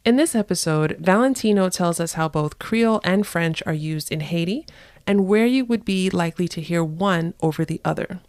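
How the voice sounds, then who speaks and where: A woman's voice: on the low side, dry recording. Upbeat woman hosting a podcast. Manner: upbeat, eager, excited. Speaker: podcast host. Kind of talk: podcast interview and scripted intro.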